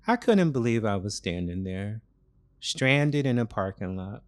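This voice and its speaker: A man narrating a scripted first-person psychological thriller in a deliberate way, with short weighted phrases and dramatic pauses. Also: mid-range voice. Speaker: story narrator.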